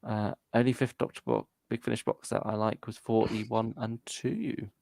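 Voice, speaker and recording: A man with a very creaky, gravelly voice on the low side, co-hosting a relaxed fan chat show. He speaks casually and a little tentatively, as if working out his opinion. The sound is dry and close. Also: normal speed, no room sound. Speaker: co-host of a fan discussion show. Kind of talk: casual chat, reading out viewer comments.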